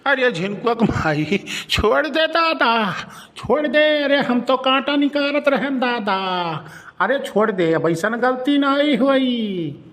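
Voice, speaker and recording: A cornered village husband from a comedy cartoon, played by a man, with an Awadhi accent. His voice is high for a man and full of whining, pleading excuses. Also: expressive, takes it slowly, a bit bright, full character voice. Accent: Awadhi, eastern Uttar Pradesh.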